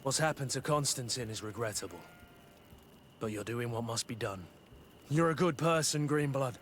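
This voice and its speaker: An actor playing a loyal, gruff but kindly older fighting mentor in a video game. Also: male voice, medium pitch, normal speed, a bit bright, a little hiss or hum, close to an everyday voice. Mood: reassuring. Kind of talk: video game dialogue acting.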